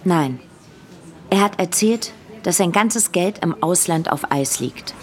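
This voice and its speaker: Young student, radio play: slightly low voice, guarded, conversational. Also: female voice, lively intonation, takes it slowly. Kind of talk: radio drama acting.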